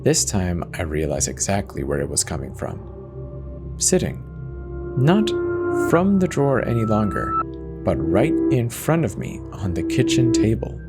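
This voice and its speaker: A man's voice: on the low side, wildly swooping pitch, a little slow. A man reading a first-person horror story as a dramatic scripted narration. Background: music.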